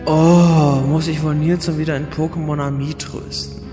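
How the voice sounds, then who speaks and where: A man playing a scatterbrained, excitable young adventurer in a goofy amateur audio comedy. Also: a little high, normal speed, natural voice. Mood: bewildered. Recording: roomy, long reverb, music behind. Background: music.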